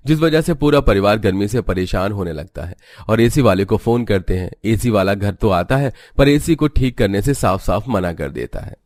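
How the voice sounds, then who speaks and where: A man's voice: on the low side, a little mellow, no room sound. A man narrating a cartoon family story as a scripted storyteller.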